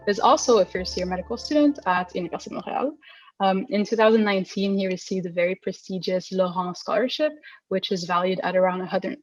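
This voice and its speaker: A woman's voice: mid-range voice, highs cut off. A young adult woman hosting a podcast interview, earnest in her introductions and casual in her questions. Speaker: podcast host.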